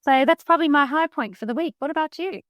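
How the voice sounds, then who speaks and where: A woman co-hosting a travel podcast in casual chat. Her voice sits fairly high and she talks in a lively, emphatic, playful way. The sound is dry and close, with no room around it. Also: fast, no room sound. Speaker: podcast co-host.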